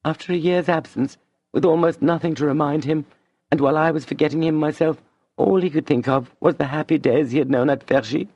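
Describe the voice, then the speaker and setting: A man reading an audiobook and voicing a tender, tearful woman who pleads softly and sounds anxious. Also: fairly high pitch, lively intonation, moderate pace, long pauses, no room sound, muffled recording, pitched above the performer's own voice. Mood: sorrowful. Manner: soft, pleading, emotional delivery. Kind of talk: audiobook reading of a classic novel.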